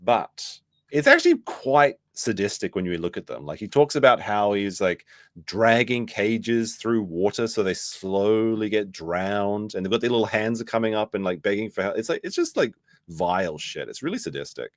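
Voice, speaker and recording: A man with a slightly hoarse voice hosting a livestream, reading posts aloud and reacting casually in a rambling, wry way. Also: fairly low pitch, big pitch swings, moderate pace, dry recording, studio quality. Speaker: livestream host.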